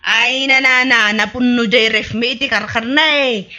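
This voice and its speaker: Woman acting a shrill, whiny village mother. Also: steady tone, moderate pace, pushed and bright. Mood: whiny, doubtful. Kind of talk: comedy radio drama acting. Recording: music under the voice.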